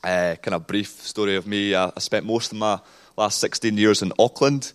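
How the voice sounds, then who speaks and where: A man in his late thirties, born in Scotland, speaks in a New Zealand accent with Scottish traces. His voice sits low and comes through smooth and resonant. He chats casually and rambles a little, poking fun at himself as a guest at a church service. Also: fairly even intonation, moderate pace. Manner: rambling and self-deprecating, with many fillers. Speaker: pastor and interview guest.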